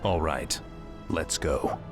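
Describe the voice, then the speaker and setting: A man's voice: extremely low pitch, slow pace, music in the background. A man playing a cold, arrogant undead knight prince in a fantasy game, speaking in a curt, commanding way.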